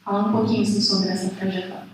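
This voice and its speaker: A woman's voice: mid-range voice, little pitch movement, quick pace. A woman moderating at a lecture, asking the guest a long, polite question, with many fillers. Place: large university auditorium. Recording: echoey hall, voice heard from far off, faint background noise.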